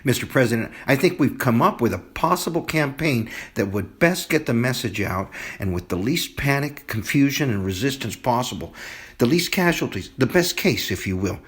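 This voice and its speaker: An earnest male aide to a president, played by a man in a homemade audio drama. He is deferential and measured, laying out his pitch item by item like a salesman. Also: sing-song, a bit bright.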